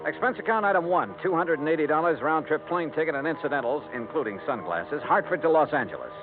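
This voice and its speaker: Through a tinny vintage radio broadcast with music behind comes a hardboiled American male investigator. His pitch is a bit high and moves in lively swings. He is clipped, dry and wry, in classic 1950s radio style. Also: quick pace. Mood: dry narration. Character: brisk hardboiled male insurance investigator, dryly unimpressed. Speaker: lead actor, narrator. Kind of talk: 1950s radio detective drama acting and narration.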